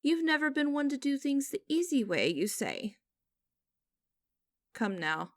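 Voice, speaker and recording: A stern young female boss, acted by a woman in an audio roleplay, with a fairly high voice recorded dry and close. She speaks formally and deliberately, teasing and condescending, with a vengeful edge. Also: big pitch swings, dry recording, heavily acted. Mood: cool, probing. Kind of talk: scripted ASMR-style audio roleplay.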